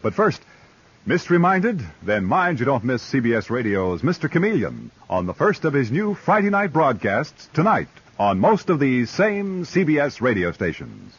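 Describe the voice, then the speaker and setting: Man, American, vintage network radio announcer, swooping delivery, slightly rough voice, lo-fi broadcast. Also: medium pitch, wildly swooping pitch, normal speed. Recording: dull old radio broadcast.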